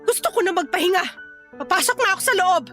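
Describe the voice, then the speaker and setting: High, bright-voiced weary young girlfriend. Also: expressive, fast, soft background music, heavily acted. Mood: tired, relenting. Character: weary, hurt young working girlfriend who finally relents. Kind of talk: Filipino radio drama acting.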